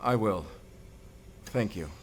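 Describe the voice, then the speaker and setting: An actor playing a devoted, defiant lover in an emotional cyberpunk audio drama. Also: male voice, on the low side, expressive, slow, noisy background. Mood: tender relief.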